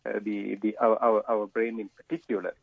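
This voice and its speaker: A middle-aged man from Sri Lanka speaks as a neurologist on a radio show, halting often with fillers and restarting his sentences. His voice comes through a thin, tinny phone line. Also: lowish voice, flat delivery, gravelly, slightly rough, dry recording. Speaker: neurologist guest. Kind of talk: radio interview answers.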